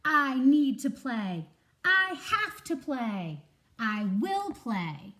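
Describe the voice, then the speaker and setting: A woman voicing a small, eager young male squirrel in a children's picture book, pleading and determined with emphatic, drawn-out words. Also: high-pitched, very animated, bright, forceful tone, pitched above the performer's own voice, exaggerated voice.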